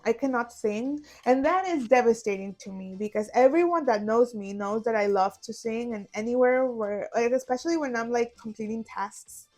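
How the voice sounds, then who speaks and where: A woman's voice: a little high, normal speed, few pauses, darkish tone, faint music behind. Chatty young woman. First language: Spanish. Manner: chatty and self-deprecating, rambling with fillers. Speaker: vlogger. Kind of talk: casual chat while solving a puzzle.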